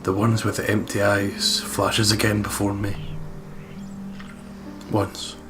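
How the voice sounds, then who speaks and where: A man's voice: fairly low pitch, completely flat delivery, slow. A man reading first-person horror narration aloud dramatically as a let's play host, with game music behind him. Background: prominent game music.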